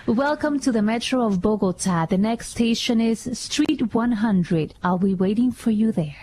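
Flustered, giggly woman with a higher voice. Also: slow pace, mellow. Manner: laughing, self-deprecating, flustered. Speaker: radio panelist. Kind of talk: casual radio chat.

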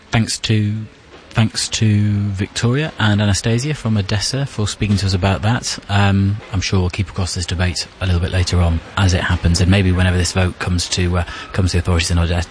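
A man's voice: sits low, steady tone, brisk, a little hiss or hum. A man presenting a radio news programme from a studio, putting probing, challenging questions to his guests.

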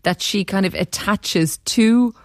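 A woman's voice: medium pitch, slow pace, no room sound, clean studio sound. A woman interviewing an author on radio, asking searching questions with some fillers.

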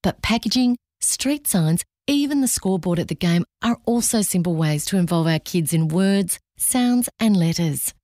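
A woman's voice: fairly low pitch, animated, normal speed, hardly any pauses, darkish tone, no room sound, studio quality. A woman reading a scripted public service ad in an encouraging way.

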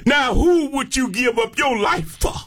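An American man preaches with fervor in a very high-pitched voice. He takes his time over each phrase and delivers it as an impassioned sermon, punctuated with exclamations of praise. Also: lively intonation, unhurried, fairly bright tone, breathy edge. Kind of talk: fervent sermon with call and response.

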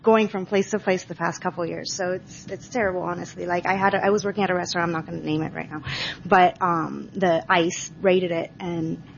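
A woman asks a question at a live event, hesitating and looping back with "like" and "um." Her voice is low with a lot of vocal fry. The audio is dull, with a faint noise underneath. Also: steady tone, a little fast. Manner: hesitant, rambling, full of "like" and "um". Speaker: audience member, restaurant worker. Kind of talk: asking a question from the audience.

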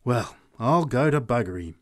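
A man's voice: medium pitch, expressive, normal speed, natural voice. A man voicing a drunk male heckler in a storybook reading, irreverent, flippant and sarcastic.

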